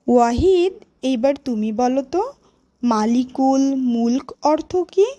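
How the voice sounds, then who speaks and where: Female cartoon schoolteacher, acted by a woman: high, lively intonation, deliberate classroom delivery. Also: exaggerated voice. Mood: encouraging, questioning. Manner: deliberate, clearly instructive delivery. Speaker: teacher. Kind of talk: educational cartoon lesson, recitation and questioning.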